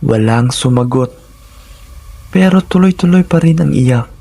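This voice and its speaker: A man's voice: mid-range voice, expressive, unhurried, vocal fry, mellow. A man narrating scripted first-person horror stories in a dramatic, suspenseful manner, in short phrases.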